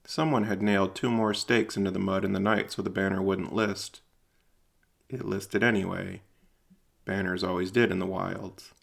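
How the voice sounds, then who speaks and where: Male narrator for audio fiction: low, gravelly with fry, measured and deliberate. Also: flat delivery. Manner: measured, deliberate, literary delivery. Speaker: narrator and writer-performer. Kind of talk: scripted audio drama narration.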